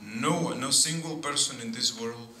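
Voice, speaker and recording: A middle-aged man, a priest giving a sermon at a pulpit, speaking in slow, deliberate phrases with pauses and frequent asides of "see". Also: medium pitch, sharp tone. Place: church sanctuary at a pulpit. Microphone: boom mic at pulpit. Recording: echoey church with faint noise.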